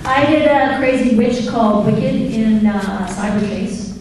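A woman on a voice actor panel, bubbly and animated, her voice worn down by a recent strep throat. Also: a little high, unhurried. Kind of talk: panel Q&A answers. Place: large echoey lecture room. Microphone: handheld mic, filmed from far off. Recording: echoey room taped from far off with crowd noise.

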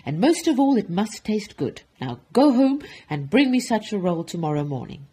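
A woman's voice: mid-range voice, very animated, normal speed, mellow, breathy edge. A woman voicing an imperious, hot-tempered male duke from a storybook, speaking in a commanding, emphatic manner. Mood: stern, demanding. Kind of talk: storybook character voice.

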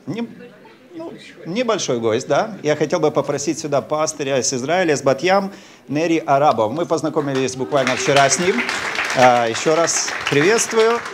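A man in his thirties introduces a guest from a church stage, speaking into a handheld mic. His voice is a touch high for a man, and his delivery is friendly and slightly halting. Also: animated, a faint crowd, a little hiss or hum. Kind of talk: stage announcement. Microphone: handheld microphone.

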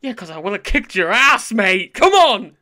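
A woman's voice: medium pitch, sing-song, measured pace, pushed and bright, soft background music. Sassy woman dubbing a game parody. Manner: sassy, sweary, irreverent. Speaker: parody dubber. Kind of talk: sassy comic video game parody dub.

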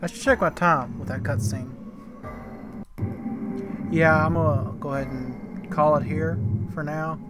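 A man's voice: fairly high pitch, fairly even intonation, a little slow, pauses often, music in the background. A man giving casual commentary as the host of a gameplay video. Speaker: let's play host.

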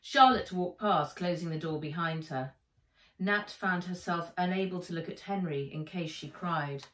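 A middle-aged woman narrates a children's book at an unhurried pace. Her delivery is deliberate and expressive, slightly low in pitch, with echo from the room. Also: far from the mic. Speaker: author reading her own book. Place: indoors, living room or garden room at home. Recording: roomy, echoing home recording.

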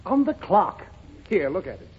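A man's voice: high-pitched, big pitch swings, normal speed. A man playing an exasperated, easily flustered father in an old radio comedy, explaining things fussily. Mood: patient, then exasperated. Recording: muffled, very noisy old radio recording.

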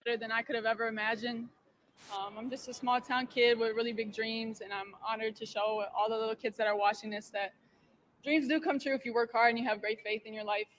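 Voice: mid-range voice, fairly even intonation, fairly quick, a bit bright, slight background noise, rough recording. A speaker giving a heartfelt acceptance speech in a clip played on the stream.

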